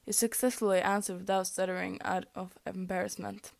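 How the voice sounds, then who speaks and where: Norwegian-speaking young woman reading a fanfic out loud, trips on words a lot, giggles at herself, dry close sound. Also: mid-range voice, little pitch movement, fairly quick, vocal fry, no room sound. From Norway. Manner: stumbles over words and laughs at her own slips. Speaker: fanfic reader. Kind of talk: reading fan fiction aloud.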